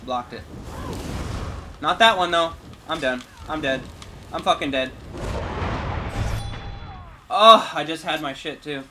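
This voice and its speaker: A man's voice: on the high side, slow, pauses often, background noise. A man doing informal, slangy, off-the-cuff let's-play commentary for his gaming channel. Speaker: gaming YouTuber. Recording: game sounds clearly behind voice. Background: video game combat and ambience.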